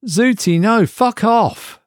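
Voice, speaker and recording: A man's voice: high voice, slow pace, no echo, clean studio sound, voice pushed up. A man voicing a weary, irritable office worker whose voice climbs when he is strained. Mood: exasperated. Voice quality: strained, rising in exasperation.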